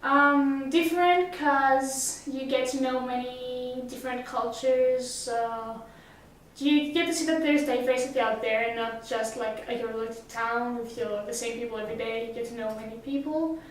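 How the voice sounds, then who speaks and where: High-voiced teenage girl, echoey room. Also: normal speed, slight background noise. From Greece. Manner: hesitant, many fillers and restarts. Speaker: summer school student. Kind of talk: casual interview answers. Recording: roomy echo, mic at a distance.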